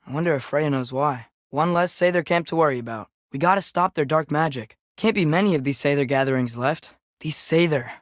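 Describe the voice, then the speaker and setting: A man's voice: on the high side, no room sound, studio quality, lightly acted. A young male actor with an American accent playing an earnest, curious teenage boy adventurer in a video game, with a light youthful voice and casual, observant delivery. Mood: thoughtful, wondering. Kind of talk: video game companion dialogue.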